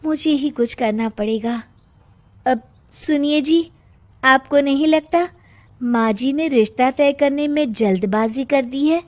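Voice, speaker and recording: A shrewd, caring young daughter-in-law from a cartoon drama , played by a woman. Her voice is high and earnest, with a worried, measured delivery. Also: a little mellow. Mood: worried, determined.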